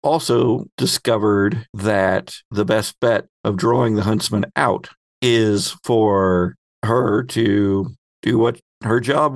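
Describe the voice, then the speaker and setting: Male game master, fairly low and fry-edged, narrating and prompting players, close dry sound. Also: no echo.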